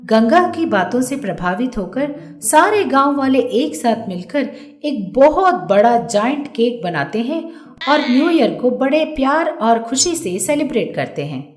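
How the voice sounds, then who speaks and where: A woman's voice: a little high, sing-song, unhurried, reverberant, soft background music. A woman narrating a scripted children's moral story as the storyteller.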